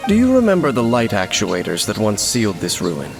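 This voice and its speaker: Solemn, low-voiced wandering swordsman, measured and formal, over game music. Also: huge pitch swings, vocal fry, exaggerated voice. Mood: grave. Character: grave, mysterious wandering swordsman, adult man. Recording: game music behind, with rain sounds.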